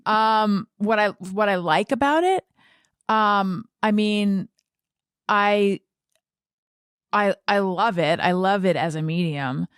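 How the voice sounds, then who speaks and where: A woman hosting a podcast, talking casually and haltingly as she thinks aloud and repeats words. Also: medium pitch, smooth, dry recording, clean studio sound. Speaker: podcast host and interviewer. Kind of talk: casual conversational podcast talk.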